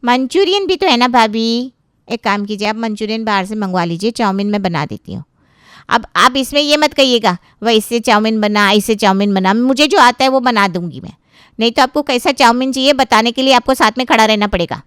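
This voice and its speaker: A woman's voice: a little high, expressive, a little fast, a bit bright, clean tone, no room sound, clean studio sound. A woman playing a brash, defiant hired cook who complains and talks back in a family story. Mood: grumbling. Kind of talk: dramatized Hindi family story dialogue.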